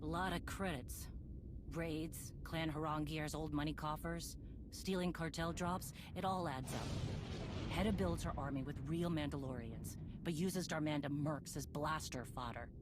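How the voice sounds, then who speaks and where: A woman's voice: sits low, steady tone, moderate pace, close to an everyday voice. An actress playing a stern, determined female warrior leader and former bounty hunter in a game cutscene, speaking in terse commands. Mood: commanding. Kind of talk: scripted game cutscene acting. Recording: clear background noise and ambience.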